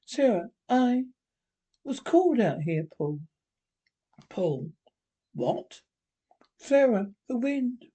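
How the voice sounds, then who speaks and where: A husky-voiced reader voicing a frightened young woman, speaking in an anxious hush. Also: mid-range voice, sing-song, extremely slow, long pauses, pitched above the performer's own voice, exaggerated voice. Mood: uneasy.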